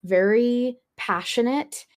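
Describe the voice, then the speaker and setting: A woman's voice: fairly high pitch, slow pace, a little mellow. A woman hosting a podcast in casual, chatty conversation, often hedging as she goes. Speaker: podcast host.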